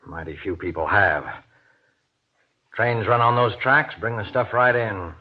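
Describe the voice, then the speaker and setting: An American actor playing an irritable, domineering husband with a gruff, scolding manner in an old-time radio horror drama. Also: male voice, lowish voice, a little slow. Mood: gruff, matter-of-fact. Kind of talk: golden age radio horror acting. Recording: dull, muffled old radio recording.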